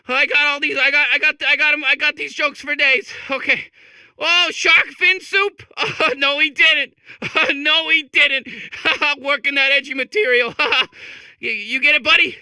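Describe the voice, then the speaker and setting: A man's voice: extremely high pitch, fairly even intonation, normal speed, pushed and bright, dry recording, exaggerated voice. A man voicing a zany, desperate comedian robot in a video game, stammering through showbiz patter and giggling at its own jokes. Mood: manic, self-amused. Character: manic, desperate, hacky comedian robot cracking itself up. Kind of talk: improvised-sounding comic video game acting.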